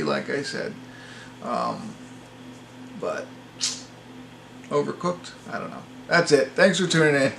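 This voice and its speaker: A man with a fairly high voice and a heavy creak talks through an informal movie review. He rambles and hesitates, often sounding apologetic. There is faint noise behind him. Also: fairly bright tone. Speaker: amateur movie reviewer, host. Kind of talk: casual unscripted review to camera.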